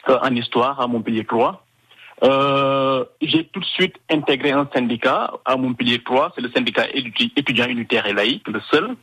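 A man's voice: mid-range voice, a bit bright, husky, rough recording. A man from Senegal, calling in to a radio show from Canada, talking with many fillers and restarts. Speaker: guest. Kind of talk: phone-in radio interview.

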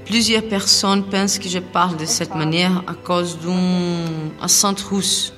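A woman reading interview answers aloud at a live public reading, calm and matter-of-fact. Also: on the low side, slow pace, soft background music. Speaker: reader performing an interview. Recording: long reverb from a live hall.

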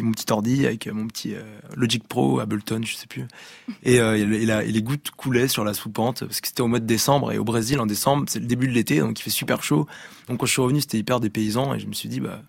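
Quick, gravelly young man, hesitant. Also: medium pitch, dry recording. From Rennes, Brittany, France. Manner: hesitant, many restarts and fillers. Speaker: musician guest. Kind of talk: radio interview. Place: radio studio.

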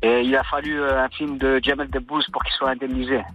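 A man calling in to a radio show, speaking calmly and earnestly. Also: medium pitch, a little fast, lo-fi sound, faint music behind. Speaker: caller.

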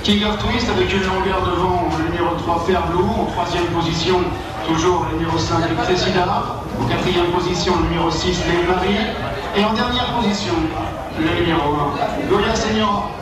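A man commentating a horse race over a racecourse loudspeaker, calling the horses in a rapid, rising delivery. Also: sits high, steady tone, over a noisy crowd. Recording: distant PA echo with music.

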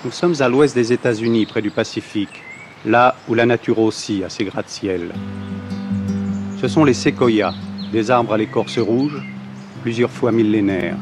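A man narrating an archive documentary clip in a slow, measured way. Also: medium pitch, over music.

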